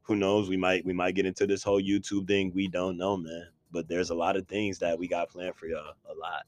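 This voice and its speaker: A man's voice: low voice, a little fast, no room sound. A man in his twenties co-hosting a podcast, chatting casually and hyping up listeners with slang. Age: 20s. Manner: animated, hype-man slang, addresses listeners as y'all. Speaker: podcast co-host.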